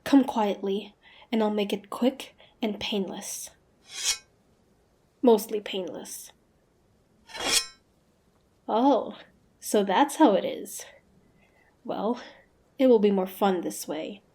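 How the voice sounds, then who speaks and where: Woman acting a proud female monster hunter, unhurried. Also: mid-range voice, dark tone. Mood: taunting, confident. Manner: haughty, taunting, dramatic. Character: proud, defiant young female monster hunter, grudgingly curious. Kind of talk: fantasy audio roleplay acting.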